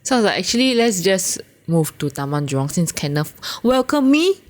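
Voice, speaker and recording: A young Singaporean woman co-hosting a casual podcast, teasing and chiming in quickly. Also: fairly low pitch, very animated. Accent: Singaporean English. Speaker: co-host. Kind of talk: casual chat.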